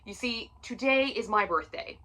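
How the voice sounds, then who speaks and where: A woman's voice: a little high, normal speed, sharp tone. A woman in her late thirties vlogging about books from her floor at home, chatty and self-mocking with plenty of swearing. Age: 30s. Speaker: book vlogger. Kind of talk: casual chat to camera.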